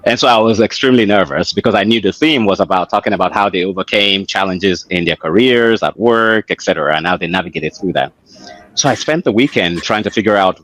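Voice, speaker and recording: A middle-aged man with a Nigerian accent and a deep, low voice tells his story as an interview guest. He speaks conversationally and reflectively, pausing and repeating words while he gathers his thoughts. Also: fairly bright tone. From Lagos, Nigeria. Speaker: interview guest, author and engineer.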